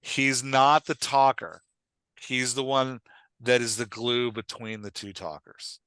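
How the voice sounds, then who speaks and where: A man with a bright, forceful voice, recorded dry and close. He coaches conversationally and with emphasis, often stopping to check that his point lands. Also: medium pitch, flat delivery, slow pace, clean tone, no room sound. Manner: emphatic, repeatedly checks for understanding; acts out short example lines of dialogue. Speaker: podcast host and writing coach.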